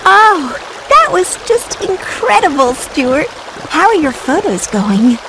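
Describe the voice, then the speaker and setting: A friendly little female bird for a video game. Her voice sits somewhat high as she helpfully explains things. Clear water noise runs behind her. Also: very animated, takes it slowly, full character voice. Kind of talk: video game cutscene acting, giving instructions.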